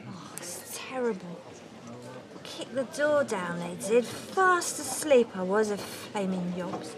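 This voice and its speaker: A woman's voice: animated, slow pace, a crowd in the background. An actress playing a drunken, self-pitying middle-aged mother in a soap, with a Northern English accent. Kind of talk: television soap acting. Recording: clear background noise, low rumble.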